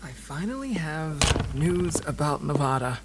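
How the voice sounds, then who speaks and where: A mid-pitched, androgynous voice plays a tired, guarded partner, voiced by an actor. The delivery is serious and understated, like natural conversation at home in an audio drama. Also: expressive, measured pace, heavy background noise, natural voice. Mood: weary, guarded. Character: guarded, tired partner reporting secret news.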